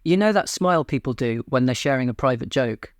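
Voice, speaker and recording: A man hosting his own channel, speaking in a flat, deadpan monotone in a scripted personal monologue. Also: mid-range voice, fairly quick, no echo, clean studio sound. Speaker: YouTube channel host. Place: home.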